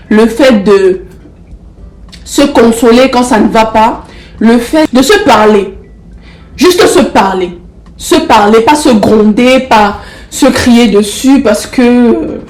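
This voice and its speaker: A woman's voice: on the high side, darkish tone. A young woman with the flu and a stuffy nose gives a casual motivational talk to camera. She coaxes her viewers affectionately and repeats phrases for emphasis. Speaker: motivational vlogger. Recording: roomy echo.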